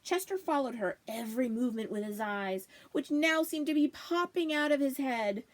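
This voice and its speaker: A woman storyteller giving an expressive read-aloud of a children's novel. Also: sits high, moderate pace. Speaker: library storyteller.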